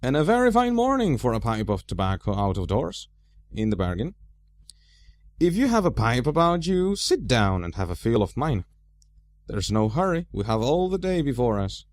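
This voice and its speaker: A man's voice: medium pitch, very animated, normal speed, dry recording, lightly acted. A man voicing a plain, comfortable, fussy middle-aged hobbit in a storybook, chatty and emphatic. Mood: cheery, hospitable. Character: plain, homely fifty-year-old male hobbit, comfortable and fussy.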